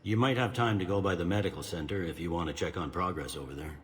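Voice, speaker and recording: An actor playing a gruff, jaded police commander in a sci-fi game, blunt and sardonic. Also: male voice, low-pitched, quick pace, close to an everyday voice. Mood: weary, sardonic. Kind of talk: game character dialogue.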